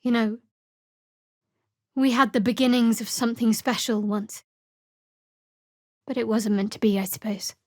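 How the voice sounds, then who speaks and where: An actress playing a guarded, sardonic young female cleric of a dark goddess in a video game, speaking in a dry, measured, wry way. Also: fairly high pitch, level tone, no room sound. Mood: wistful. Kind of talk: video game character dialogue.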